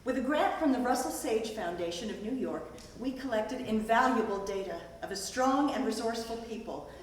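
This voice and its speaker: A woman's voice: a little high, animated, normal speed, natural voice. A woman playing an educator's devoted wife, formally recounting their work in a staged play reading. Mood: proud, formal. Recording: echoey hall from far off, hiss.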